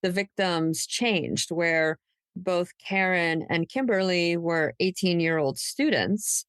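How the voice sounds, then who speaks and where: Woman, slightly low pitch, slow pace, podcast host, conversational true crime talk, hesitant pauses. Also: smooth, no echo, studio quality.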